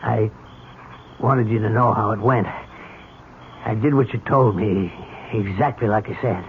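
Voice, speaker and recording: A man's voice: lowish voice, measured pace. An actor playing a nervous, simple country henchman, deferential and plain-spoken, in an old-time radio drama. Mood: anxious, reporting. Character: nervous, simple rustic cab driver turned hired killer. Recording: muffled, noisy old radio recording.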